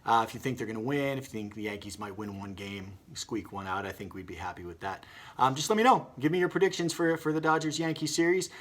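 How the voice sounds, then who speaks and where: A man hosting a children's read-aloud, moving between expressive storytelling and casual chat. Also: mid-range voice, fast. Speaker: story reader and host.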